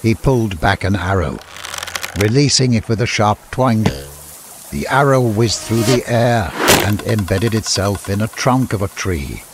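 A man's voice: fairly low pitch, animated, a little slow, background noise. A man narrating a fantasy audiobook in a scripted, dramatic storytelling style. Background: music.

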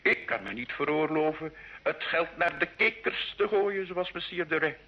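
A sly, condescending duke in a vintage radio drama. His husky voice swoops theatrically up and down. The recording is dull and lo-fi. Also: fairly high pitch, lively intonation, normal speed. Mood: smug, amused. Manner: theatrical, patronising, sprinkled with French phrases. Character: jovial, sly medieval duke, condescending and scheming. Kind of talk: Dutch-language radio play acting.

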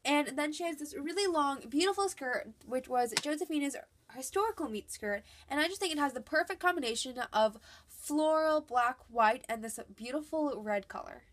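Young girl with a bit of a cold, high voice, upbeat chatty doll outfit voice-over. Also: animated, normal speed. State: has a cold. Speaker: doll collector vlogger.

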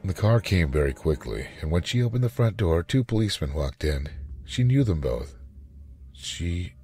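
A man reading a short story as a dramatic, expressive narrator. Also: deep voice, moderate pace, breathy edge, faint music behind.

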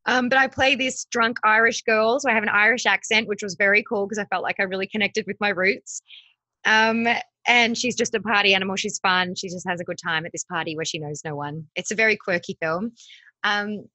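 A woman in her thirties, a TV host and actress, chatting as a podcast guest over a video call with a desk mic, bubbly and quick to laugh. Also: mid-range voice, steady tone, bright, forceful tone, dry recording. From Australia. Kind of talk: casual podcast interview. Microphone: desk condenser mic, video call.

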